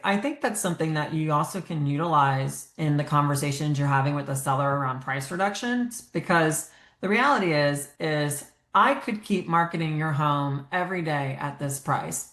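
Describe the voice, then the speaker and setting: A woman's voice: deep voice, animated, fairly quick, fairly bright tone. A woman hosting a podcast interview, talking conversationally and thinking aloud with fillers. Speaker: podcast host. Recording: echoey room.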